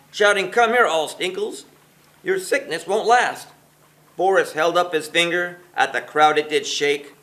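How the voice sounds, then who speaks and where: Lively, high-voiced male storybook reader. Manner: expressive, sing-song rhythmic delivery. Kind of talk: rhyming children's story narration.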